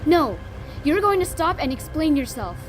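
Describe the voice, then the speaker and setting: A woman acts as a firm female officer confronting a colleague in an audio crime drama. Her voice is fairly high and pleading, over faint background music. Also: big pitch swings, normal speed.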